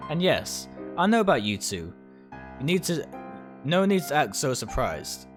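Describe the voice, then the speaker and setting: Man playing chummy teasing doctor, swooping pitch. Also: big pitch swings, measured pace, over music. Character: casual, teasing male hospital doctor, chummy and blunt. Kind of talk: visual novel character read aloud.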